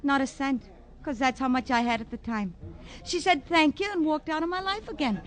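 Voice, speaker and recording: An actress with an American accent playing a brash, breezy, scatterbrained roller derby skater in a 1950s radio drama, chatty and cheeky. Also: female voice, sits high, fairly quick, natural voice. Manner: chatty, literal-minded, cheeky. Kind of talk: 1950s radio comic character acting. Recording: old radio recording, crowd behind.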